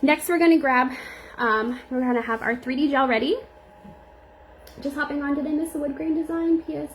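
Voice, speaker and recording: A young woman with a slightly squeaky voice, chatting casually through a live nail art tutorial and answering viewers as she works. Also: fairly even intonation, brisk, slight background noise, rough recording. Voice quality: a little squeaky.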